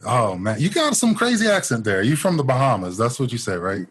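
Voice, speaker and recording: A man with a Baltimore accent hosting a podcast, excitable and profane, laughing often. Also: medium pitch, a little fast, breathy edge. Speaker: podcast host. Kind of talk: casual podcast chat.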